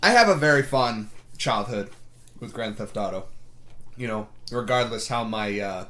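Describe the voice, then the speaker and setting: A man's voice: fairly low pitch, expressive, moderate pace, sharp tone. Young guy rambling on a podcast, laughs a lot, swears here and there. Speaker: podcast co-host. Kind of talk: casual chat.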